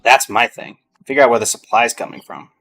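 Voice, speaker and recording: A man's voice: mid-range voice, fairly even intonation, brisk, a bit bright. Casting: male streamer, medium register, informal running commentary, muttered musings, game music underneath. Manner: informal, musing aloud to himself. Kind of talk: casual gameplay commentary.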